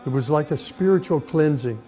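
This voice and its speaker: A middle-aged man from the United States, earnestly telling his own life story at an unhurried pace, with pauses and restarts. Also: a little high, expressive. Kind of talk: personal testimony, reflective storytelling. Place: Saudi Arabia. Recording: soft music behind the voice.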